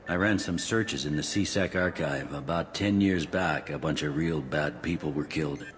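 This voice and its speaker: Gruff police captain in echoing hall. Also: male voice, a bass voice, normal speed. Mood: weary, skeptical. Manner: blunt, colloquial, wry. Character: gruff, world-weary male police captain. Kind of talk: video game character dialogue. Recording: long hall reverb, faint background noise.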